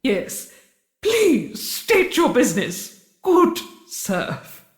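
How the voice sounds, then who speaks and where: Woman playing a haughty, curt queen, animated and high. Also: very slow, reverberant, exaggerated voice. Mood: condescending. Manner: imperious, curt, withering. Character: haughty, impatient, disdainful queen. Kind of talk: comedy audio drama acting.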